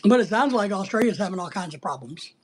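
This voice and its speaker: Husky-voiced American livestreamer, blunt and teasing. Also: medium pitch, animated, fast. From United States. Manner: blunt, teasing, sometimes breaking into playful exclamations. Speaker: livestream host. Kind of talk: casual rambling live chat, answering viewer comments.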